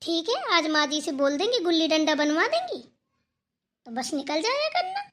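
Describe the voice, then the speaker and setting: Woman voicing an outspoken, very shrill young daughter-in-law. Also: sing-song, normal speed, a bit bright, exaggerated voice. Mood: defensive, sarcastic. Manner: talking back, teasing, moralizing. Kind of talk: acted dialogue in Hindi family cartoon.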